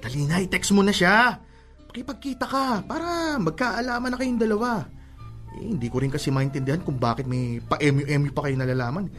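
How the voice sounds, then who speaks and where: A man's voice: sits high, brisk, faint music behind. A man with a Filipino accent acting a teasing, pushy young cousin in a radio drama. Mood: urging, scolding. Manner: animated, ribbing, bossy. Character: teasing, pushy young male cousin giving love advice.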